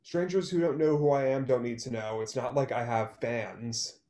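A man's voice: mid-range voice, fairly even intonation, moderate pace, mellow, close to an everyday voice. Liam, bitter songwriter acted by a man, echoey. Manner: terse, sarcastic. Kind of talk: online one-act play table read. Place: small room at home, video call. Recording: roomy, echoey video-call sound.

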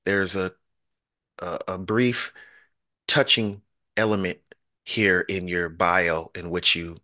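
A man's voice: sits low, pushed and bright, no room sound, studio quality. A middle-aged man hosting a podcast over a video call, effusive and grateful, often repeating his words. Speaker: podcast host. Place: home studio, indoors. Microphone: studio mic on a video call.

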